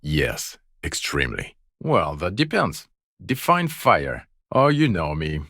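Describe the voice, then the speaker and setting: A jaded video game police detective with a very deep voice, recorded close and dry. He is sardonic and exasperated, delivering his foul-mouthed scorn with biting sarcasm. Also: huge pitch swings, slow, fairly bright tone, no room sound, exaggerated voice. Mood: bitter sarcasm. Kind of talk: video game character voice acting.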